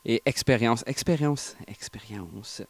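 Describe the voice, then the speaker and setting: A man in his thirties with a Québécois French accent, hosting a casual livestream interview, chatty and quick to laugh. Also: medium pitch, no echo. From Quebec. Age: 30s. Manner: laughs often, loose and chatty. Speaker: livestream host.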